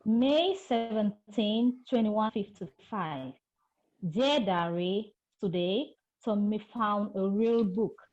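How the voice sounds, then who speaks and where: A woman reading the part of a young girl who hates school, slowly and deliberately. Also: mid-range voice, a little mellow, highs cut off, lightly acted. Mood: sulky. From Cameroon.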